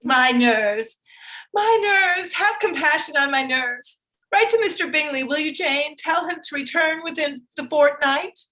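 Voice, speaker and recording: A woman's voice: high voice, lively intonation, measured pace, sharp tone, full character voice. A woman playing a melodramatic, self-pitying middle-aged mother in a staged reading of a period comedy, with a plaintive, theatrical manner. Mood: fretful pleading.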